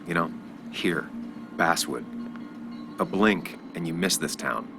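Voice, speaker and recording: A man playing a weary, dry-witted journalist in a video game, speaking in a low-key, deadpan way. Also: slow pace, music in the background, heavily acted. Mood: dry, casual. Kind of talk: naturalistic video game dialogue.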